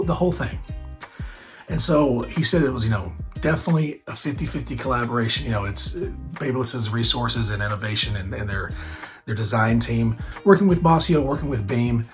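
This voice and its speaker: A hoarse man hosting a barber podcast, chatting casually on his own with lots of fillers and restarts. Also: mid-range voice. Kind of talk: casual solo podcast chat.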